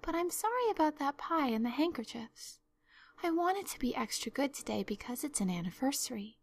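A woman's voice: sits high, big pitch swings, brisk, pitched above the performer's own voice. A woman voicing an imaginative, earnest young girl in a storybook, talking in an effusive, theatrical, pleading way. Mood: wistful, earnest. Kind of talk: storybook narration.

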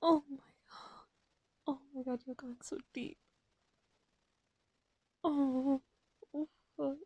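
A woman's voice: sits high, steady tone, slow, no room sound, lo-fi sound. A woman in an intimate erotic audio roleplay, breathless and aroused, whispering between moans.

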